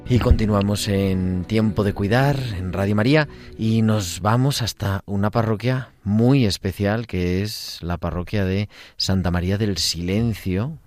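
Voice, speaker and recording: A man hosting a radio interview, asking chatty, lively questions. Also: lowish voice, measured pace, faint music behind. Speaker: radio host.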